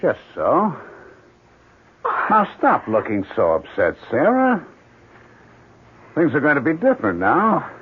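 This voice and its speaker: A man's voice: on the high side, huge pitch swings, slow, pauses often, husky, heavily acted. A man acting an eager, moody painter husband in a 1970s radio drama. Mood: eager, assured. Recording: muffled, hissy 1970s radio broadcast.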